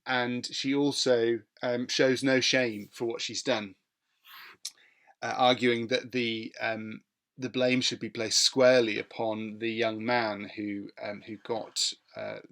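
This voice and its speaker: A man with a British accent speaking as a teacher and podcast host, explaining things in a measured, somewhat hesitant way. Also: medium pitch, a bit bright.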